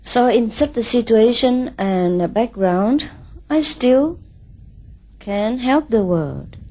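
A woman's voice: on the high side, animated, slow pace, a little mellow. Vietnam-born woman spiritual teacher, short broken english lines, waits for the translator. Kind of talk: spiritual talk with live interpreter.

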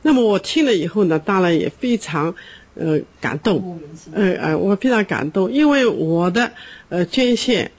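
A schoolteacher speaking earnestly in an interview, often pausing with hesitations. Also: female voice, fairly low pitch, expressive, a little mellow. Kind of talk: interview testimony.

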